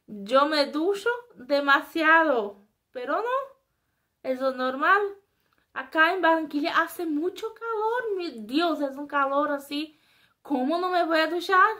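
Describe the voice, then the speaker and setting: A woman's voice: sits high, slow. A young woman from Brazil speaking Spanish with a Brazilian Portuguese accent, chatting to camera in a casual, self-mocking vlog from a small room. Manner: chatty, self-mocking, animated faces and gestures. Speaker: vlogger. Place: small indoor room before a flag.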